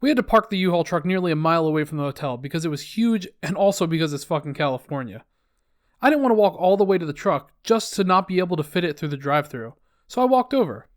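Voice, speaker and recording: A man's voice: on the high side, lively intonation, fast talker, no room sound, clean studio sound. A man reading online posts aloud, adding wry, sarcastic comments between them.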